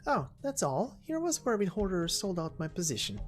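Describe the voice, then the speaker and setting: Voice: medium pitch, big pitch swings, moderate pace, soft background music, voice pushed up, natural voice. A narrator voicing a cocky young woman mercenary with a taunting, flippant manner in an amateur game story dub.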